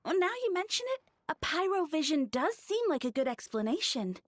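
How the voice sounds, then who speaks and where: A woman's voice: high voice, sing-song, a little fast, no echo. A woman voicing a lovestruck, easily flustered young flower-shop girl in a video game, dreamy and hesitant. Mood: wistful. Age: young adult. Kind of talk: video game character voice acting. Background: game music.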